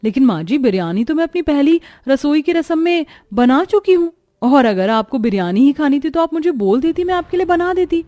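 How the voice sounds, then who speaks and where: A young Indian daughter-in-law in a cartoon story. Her voice is fairly high, earnest and dutiful, with the complaining edge of someone overworked. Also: expressive, fairly quick, hardly any pauses, dark tone. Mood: hesitant. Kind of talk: Hindi cartoon story voice acting.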